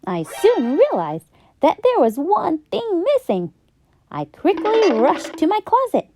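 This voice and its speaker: A woman narrating a children's story in an expressive, theatrical and emphatic storytime style. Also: very high voice, dry recording. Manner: theatrical, emphatic, drawn-out delivery. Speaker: children's storybook read-aloud host. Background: background music.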